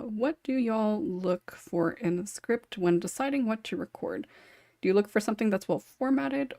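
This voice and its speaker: A woman's voice: mid-range voice, darkish tone, no echo. A woman hosting a casual panel discussion, chatty and lightly teasing in manner. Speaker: podcast host and script writer.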